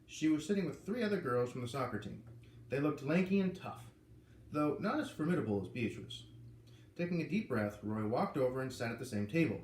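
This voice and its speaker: A man reading a children's novel aloud, lively and putting on voices for the characters. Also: mid-range voice, a little fast, far from the mic. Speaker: reader. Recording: echoey room with faint ambient noise.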